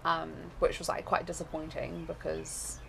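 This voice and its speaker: A woman with a New Zealand accent, chatting casually as an interview guest, rambling with lots of fillers and quick laughs. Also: sits low, completely flat delivery, vocal fry, fairly bright tone, a little hiss or hum, rough recording.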